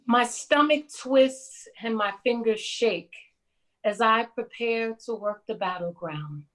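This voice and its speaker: A woman's voice: a little high, poor audio. A woman playing an anxious, dedicated city nurse in a poetic dramatic monologue.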